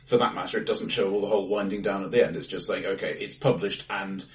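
A man with a fairly low voice speaks quickly as he discusses film with a co-host. His delivery is wry and analytical. He sounds some distance from the microphone in a bright, reflective room. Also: fairly even intonation. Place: acoustically bright room indoors.

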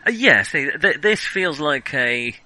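A man's voice: a little high, animated, measured pace, pushed and bright. A man co-hosting a casual film discussion, talking in a hesitant, chuckly, conversational way. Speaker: podcast co-host.

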